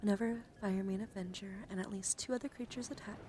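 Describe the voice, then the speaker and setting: Hushed woman doing soft ASMR, noisy background. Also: medium pitch, steady tone, fast. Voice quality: breathy, often dropping into whisper. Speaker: streamer. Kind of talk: soft-spoken ASMR card showcase. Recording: clear background noise.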